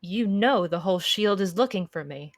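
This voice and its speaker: A woman's voice: mid-range voice, animated, moderate pace, lightly acted. Woman playing a wary, sardonic fugitive. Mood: wry, suspicious. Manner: dry, sarcastic, confrontational. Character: wary, sardonic fugitive who sizes people up. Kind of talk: amateur visual novel read-aloud acting.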